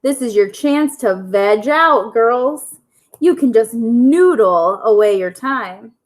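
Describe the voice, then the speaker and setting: A woman's voice: a little high, lively intonation, takes it slowly. A woman voicing a callous, joking farm man in a storybook, with a folksy manner. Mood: jokey. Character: joking, callous male farmer and truck driver.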